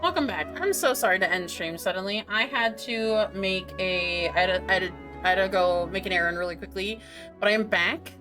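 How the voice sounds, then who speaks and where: A woman's voice: medium pitch, a little fast. A woman streaming a video game with casual live commentary, thinking aloud and repeating her phrases as she rambles. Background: game music.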